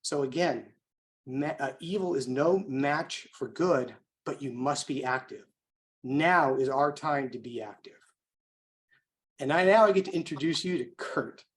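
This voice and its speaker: An American man speaking as an event host, delivering an emphatic, rhetorical motivational introduction with deliberate phrasing. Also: mid-range voice. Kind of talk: motivational speech introducing a guest.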